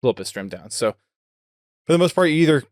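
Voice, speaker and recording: A man's voice: on the high side, big pitch swings, quick pace, no echo, clean studio sound. A man hosting a podcast, chatting casually with a guest. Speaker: podcast host interviewing a guest.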